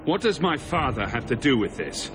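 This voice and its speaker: A man's voice: medium pitch, sing-song, moderate pace, big-room sound, music in the background, lightly acted. A man voicing a sarcastic young mage hero in a video game, with a dry manner. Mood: dry, defiant.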